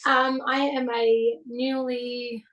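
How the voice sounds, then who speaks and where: A woman with a slightly croaky voice, a newly graduated yoga teacher, introducing herself a little nervously and hesitantly. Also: sits high, little pitch movement, takes it slowly, a bit bright, lo-fi sound.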